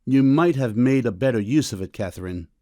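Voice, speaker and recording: A man playing a stern, middle-aged doctor and father in a period audiobook drama, with a measured, formal, coolly ironic manner. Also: medium pitch, lively intonation, mellow, no room sound, clean studio sound, lightly acted. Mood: dry, questioning. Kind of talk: full-cast audiobook period drama acting.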